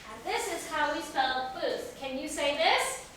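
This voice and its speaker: High, bright-voiced woman teacher, very echoey room. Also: lively intonation, a little slow. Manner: simple instructional phrasing with questions and repetition; encouraging, praising answers. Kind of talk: English lesson to a young class. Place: echoey classroom, mic at a distance. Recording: very echoey room, distant mic, faint noise.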